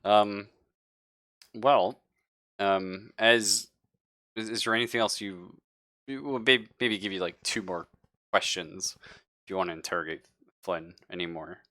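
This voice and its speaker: A man casually narrating a tabletop game as game master, halting as he searches for words. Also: lowish voice, sharp tone, dry recording, studio quality.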